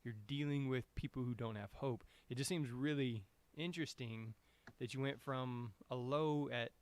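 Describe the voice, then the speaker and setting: A man's voice: mid-range voice, moderate pace. A man hosting a podcast interview, asking loosely phrased, conversational questions. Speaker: podcast host.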